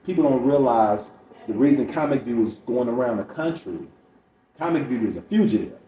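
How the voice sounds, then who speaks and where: A man's voice: medium pitch, lively intonation, brisk, lo-fi sound. A middle-aged man speaking candidly as a comedian guest on a podcast, telling stories and quoting people as he goes. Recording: roomy echo, distant mic, faint noise.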